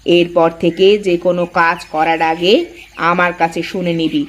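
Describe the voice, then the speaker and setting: A woman's voice: lowish voice, flat delivery, moderate pace, lightly acted. A woman voicing a bossy, easily offended elder daughter-in-law in a Bengali cartoon story.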